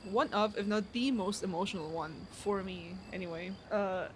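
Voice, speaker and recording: A woman's voice: mid-range voice, moderate pace, a little hiss or hum. A young woman streamer giving casual live reactions to a video game, with music playing behind the voice.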